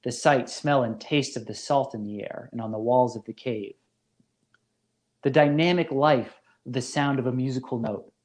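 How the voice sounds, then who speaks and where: Measured male professor narrating a lecture. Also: medium pitch, a little mellow. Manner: measured, literary, deliberate phrasing. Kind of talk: scripted philosophy lecture narration.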